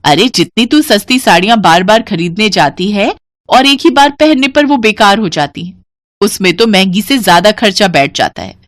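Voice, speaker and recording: A woman voicing an elder daughter-in-law who lectures firmly, pitched above her narrating voice. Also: sing-song, normal speed, dry recording, studio quality, lightly acted. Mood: lecturing.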